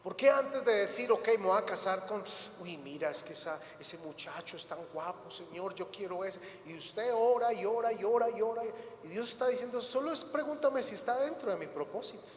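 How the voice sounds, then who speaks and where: A man's voice: sits high, lively intonation, a little fast, few pauses, slight background noise. A male pastor delivering an emphatic sermon, repeating words and building to rising bursts. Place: church hall. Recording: echoey church hall, faint ambience.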